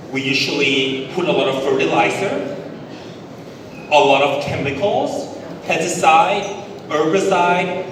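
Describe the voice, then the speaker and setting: Thai guy giving a conference talk, thai accent, emphatic, sounds far from the mic in a noisy echoey hall. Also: a little high. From Thailand. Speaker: conference speaker, business executive. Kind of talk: public presentation. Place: large indoor event hall.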